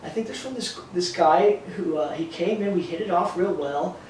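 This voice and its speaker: Lively storytelling man in his thirties. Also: sits high, normal speed, a little hiss or hum. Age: 30s. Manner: animated storyteller, acts out quotes. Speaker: podcast host. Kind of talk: casual chat. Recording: echoey room, distant mic.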